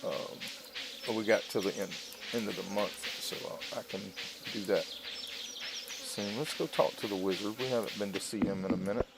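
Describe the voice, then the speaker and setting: A man's voice: on the low side, moderate pace, very noisy. A man giving casual let's-play commentary on a video game, talking through each step as he plays. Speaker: gaming video host. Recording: game music loud behind voice. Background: loud video game music and sounds.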